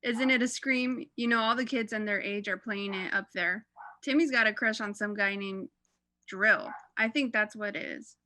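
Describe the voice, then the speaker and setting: A woman's voice: mid-range voice, normal speed, sharp tone, dry recording, lightly acted. A young woman playing a breezy suburban mother chatting and gossiping on the telephone in a radio play. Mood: breezy, chatty. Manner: bubbly, gossipy.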